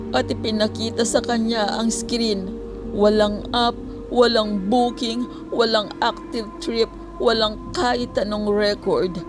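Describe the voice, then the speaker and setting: A storyteller narrating a scripted horror tale in a suspenseful manner, with dramatic pauses and hushed moments. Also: mid-range voice.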